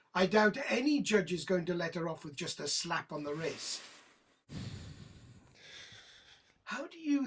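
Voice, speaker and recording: Audio drama casting: a mocking, menacing police inspector; husky voice, sarcastic and falsely sweet. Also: female voice, fairly low pitch, a bit bright, full character voice. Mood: quietly menacing. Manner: taunting, sarcastic, mock-sweet.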